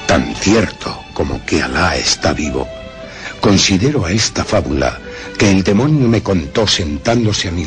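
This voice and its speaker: Very deep, solemn Castilian male storyteller. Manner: grave, theatrical, emphatic phrasing with dramatic pauses. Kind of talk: dramatic radio drama narration of a horror tale. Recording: music under the voice.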